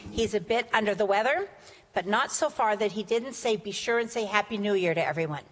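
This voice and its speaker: A woman's voice: medium pitch, a little fast. A woman runs a city council meeting, chatty and plain-spoken, her voice sitting in the middle of her range. She speaks into a desk microphone, and the council chamber gives it a long reverb. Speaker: mayor pro tem chairing a council meeting. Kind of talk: chairing a public meeting.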